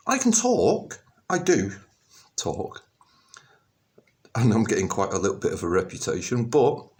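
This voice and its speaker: A middle-aged man from England, talking to camera in a rambling personal monologue, halting with many ums and restarts, then bursting out emphatically. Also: medium pitch, darkish tone. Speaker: vlogger.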